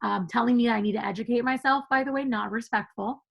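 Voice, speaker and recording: A woman's voice: a little high, fast talker, gravelly, a bit bright. A woman guest on a podcast, speaking with passion and emphasis in a conversational interview, laughing now and then.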